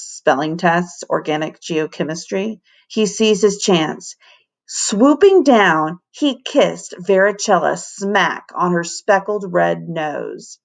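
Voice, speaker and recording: Woman reading a storybook aloud expressively. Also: fairly low pitch. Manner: animated, dramatic. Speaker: teacher reading aloud to class.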